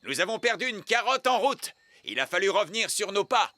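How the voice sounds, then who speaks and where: A man's voice: high-pitched, sing-song, brisk, sharp tone, dry recording, full character voice. A man voicing an earnest, apologetic delivery elf in a cartoon, eager to put things right.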